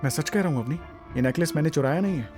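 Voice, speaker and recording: A man's voice: on the high side, racing, darkish tone, faint music behind, natural voice. A man acting an earnest, wronged young husband in an audio drama. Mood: earnest, insistent.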